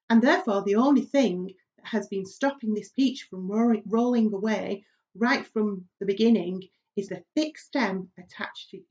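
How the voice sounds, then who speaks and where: A kind, gentle lady ladybird from a storybook, played by a woman reader. She speaks with confiding assurance, in a slightly lo-fi recording. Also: mid-range voice, lightly acted.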